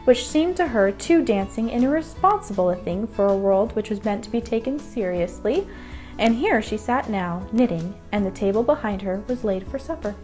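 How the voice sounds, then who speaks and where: A woman's voice: mid-range voice, lively intonation, normal speed, a little mellow. A woman narrating a children's bedtime story from a script. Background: music.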